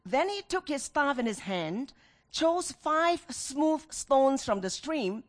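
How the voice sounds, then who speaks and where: A woman's voice: high-pitched, very animated, slow pace. A middle-aged woman preaching a sermon as a pastor, telling personal anecdotes and emphatically repeating phrases to her listeners.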